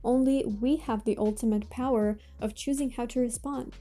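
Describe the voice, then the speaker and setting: A woman with a Russian accent, a native Russian speaker, gives motivational advice straight to viewers in measured, deliberate phrases with emphatic pauses. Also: on the high side, steady tone, dark tone, faint music behind. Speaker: host of a self-improvement channel.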